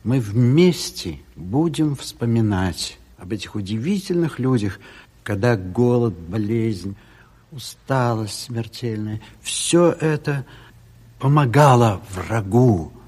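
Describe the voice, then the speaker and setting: A man speaks slowly and earnestly as he introduces a stage play. His voice is husky and oratorical, with emphatic pauses, carried in long hall reverb. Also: medium pitch, darkish tone. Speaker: theatre company presenter introducing a play. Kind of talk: solemn introductory address to an audience.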